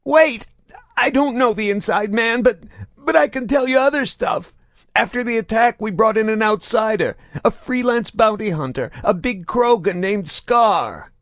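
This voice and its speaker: A terrified whining alien merc. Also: male voice, animated, unhurried, a bit bright, no room sound, clean studio sound, full character voice. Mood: terrified pleading. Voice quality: high-pitched mewling whine. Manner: pleading, desperate, stammering. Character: terrified crippled male alien mercenary, begging.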